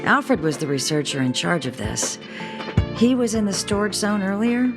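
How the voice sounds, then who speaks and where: An actress playing a composed, weary researcher on a space station in a video game cutscene. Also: female voice, mid-range voice, big pitch swings, normal speed. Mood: businesslike, grateful. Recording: loud music bed underneath.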